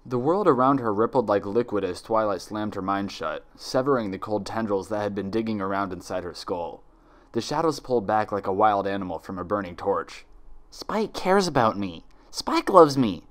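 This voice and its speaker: A man's voice: lowish voice, fairly quick. A man reading scripted fiction aloud as a dramatic story narrator.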